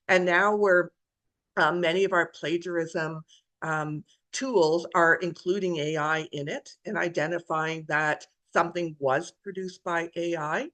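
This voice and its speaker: A woman's voice: fairly low pitch, fairly bright tone. A woman speaks as a nursing educator on a webinar panel. She talks in a conversational, reflective way and tacks on "right?" as she goes, and it sounds like a video call. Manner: conversational, reflective, tag questions.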